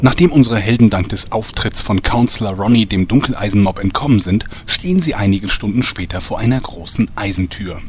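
A man's voice: fairly low pitch, fairly quick, few pauses, darkish tone, slight background noise, natural voice. A man acting as storyteller, giving scripted narration for a comic fantasy audio drama. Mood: narrating. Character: male storyteller recapping a mock fantasy soap.

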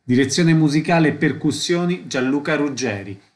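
A man reading scripted theatre credits as a radio announcer, in a measured, formal delivery. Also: fairly high pitch, animated.

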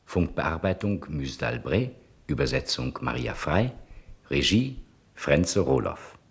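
Deep, very raspy male radio narrator. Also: sing-song. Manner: measured, storytelling. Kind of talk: scripted, theatrical 1960s radio narration.

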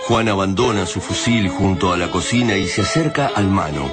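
A man's voice: sits low, lively intonation, moderate pace. A man with an Argentine accent narrating a science fiction radio drama as a grave, solemn storyteller. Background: music.

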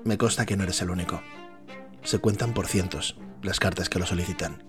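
A man's voice: deep voice, steady tone, brisk, over music, close to an everyday voice. A man playing a grave, resigned senator and brother in a radio drama.

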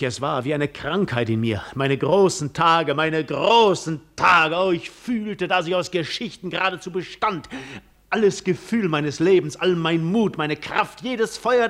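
An actor playing a weary, proud seafarer lost in memories, in a poetic, theatrical 1950s radio monologue. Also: male voice, on the high side, a bit bright. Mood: anguished, brooding. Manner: poetic, reflective, theatrical delivery.

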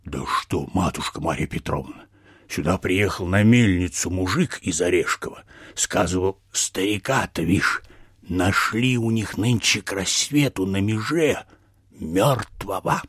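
An actor playing a plain-spoken peasant man in a radio play, telling village news in a folksy, halting way. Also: low voice, a bit bright, slightly rough, dry recording, studio quality, full character voice. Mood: grave, hushed.

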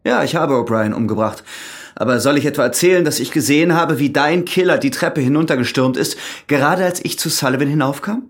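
An actor playing a cool, calculating ex-convict in a radio crime drama, speaking in a controlled, insistent, matter-of-fact way. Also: male voice, a little high, brisk, few pauses, lightly acted. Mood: pressing, threatening. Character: cool, calculating ex-convict who has killed.

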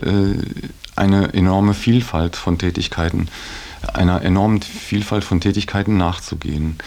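Middle-aged male interviewee, very low creaky voice, thinking aloud in slow-forming, hesitant phrases. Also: darkish tone. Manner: halting, thoughtful, often ending phrases with a tag word. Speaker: interviewee in a radio feature. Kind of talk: reflective personal account in conversation.

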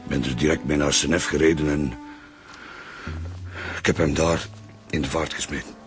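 An actor playing a grim, stubborn Flemish man who talks tersely and haltingly in a radio drama. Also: a bass voice, steady tone, soft background music.

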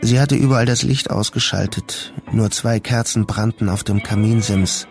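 A man narrating a literary radio drama in a measured, sober and deliberate way. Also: fairly low pitch. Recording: music clearly behind the voice.

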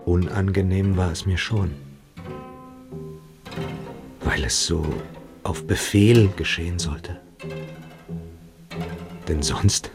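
An actor playing a shamed, evasive teenage cadet in a radio play, halting and self-justifying. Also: male voice, deep voice. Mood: evasive, ashamed. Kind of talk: German radio play acting.

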